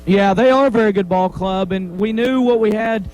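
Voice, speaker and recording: A man, a high school football coach, giving a plain-spoken postgame interview on the field and talking through the game's key plays. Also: normal speed, a little mellow, poor audio. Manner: plain-spoken, rambling, repeats himself. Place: on the field after a game.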